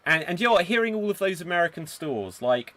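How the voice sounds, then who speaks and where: A man's voice: high-pitched, very animated, fast talker, fairly bright tone. A man hosting a live-streamed improv game over a video call, bubbly and teasing, and often laughing. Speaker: improv show host.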